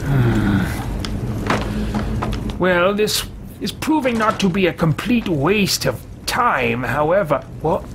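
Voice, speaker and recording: A man's voice: sits high, very animated, slow, long pauses, background noise, pitched above the performer's own voice, full character voice. A man in his thirties voicing a haughty, greedy male mage adventurer with pompous, theatrical disdain in a video game roleplay. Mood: bored, imperious. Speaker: let's-play host roleplaying a game character. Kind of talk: theatrical in-character game commentary.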